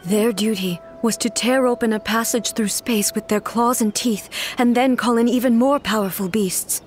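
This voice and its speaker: A woman playing a solemn, regal immortal ruler in a video game, speaking in a formal, measured and grave way. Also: a little high, lightly acted. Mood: grave, somber. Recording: music behind the voice.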